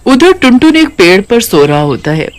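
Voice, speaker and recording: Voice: medium pitch, very animated, moderate pace. A narrator reading a scripted cartoon story.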